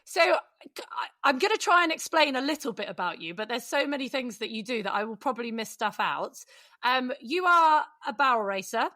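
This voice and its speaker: A British woman with a fairly high voice hosts a casual podcast. She talks in an effusive, bantering way, recorded close and dry. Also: expressive, a little fast, pushed and bright, dry recording. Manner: effusive, jokey. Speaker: podcast host.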